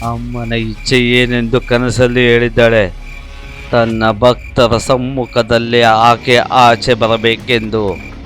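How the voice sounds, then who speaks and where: A man's voice: mid-range voice, flat delivery, slow pace, a bit bright, soft background music. A man voicing an earnest village character in a moral story, speaking in a declamatory way. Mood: insistent. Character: earnest village men: leader and alarmed old visionary.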